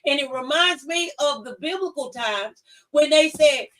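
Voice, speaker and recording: A woman's voice: high-pitched, expressive, measured pace, a bit bright, far from the mic, rough recording. A woman hosting a talk show, giving opinions in a folksy, emphatic conversational way and repeating phrases for effect.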